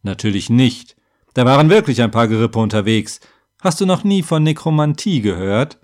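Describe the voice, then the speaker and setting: A man's voice: mid-range voice, expressive, normal speed, a little mellow, lightly acted. A man voicing a muscular, womanizing elite warrior in a fantasy story, talking in a blunt, offhand, self-assured way. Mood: matter-of-fact.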